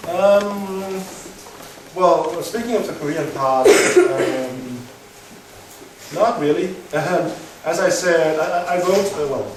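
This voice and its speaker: A young Danish man, a native Danish speaker, answering audience questions in English with many pauses and restarts. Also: high-pitched, lively intonation. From Denmark. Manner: hesitant, many fillers and restarts. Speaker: guest speaker. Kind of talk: live Q&A answers. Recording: echoey hall, mic far off, noisy.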